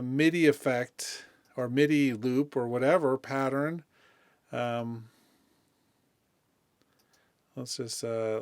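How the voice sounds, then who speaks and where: A man hosts an informal software demonstration in a mid-pitched voice. He talks conversationally, working things out aloud as he goes. The sound is dry, with hardly any room. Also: no echo. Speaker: music software tutorial host. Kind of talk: casual unscripted software demonstration.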